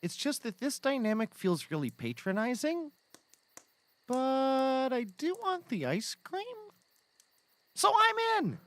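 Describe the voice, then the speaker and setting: A man's voice: very high voice, wildly swooping pitch, slow pace, fairly bright tone, no room sound, heavily acted. A man playing a naive, eager, earnest young male sidekick in a scripted audio comedy. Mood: hurt, eager.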